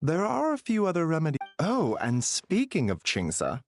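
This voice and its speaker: An actor playing a composed, scholarly young doctor in an anime game, speaking politely and formally. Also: male voice, a little high, wildly swooping pitch, moderate pace, faint music behind. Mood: tactful.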